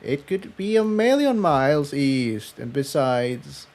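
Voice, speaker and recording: A man voicing a gentle, shy young female pony in a soft, hesitant storybook voice. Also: mid-range voice, big pitch swings, takes it slowly, pitched above the performer's own voice. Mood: shy, unsure.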